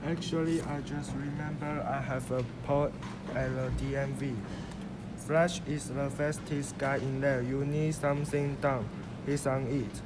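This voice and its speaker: A man's voice: mid-range voice, level tone, unhurried, lightly acted. A man playing a sly male fox who teases in a leisurely way in an amateur radio play. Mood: sly, teasing. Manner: teasing, leisurely. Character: sly, teasing male fox, smooth talker. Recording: noisy amateur recording.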